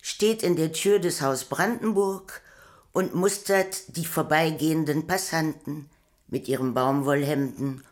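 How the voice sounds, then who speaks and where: A woman narrating a poetic radio play from a script in a measured, deliberate way. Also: deep voice.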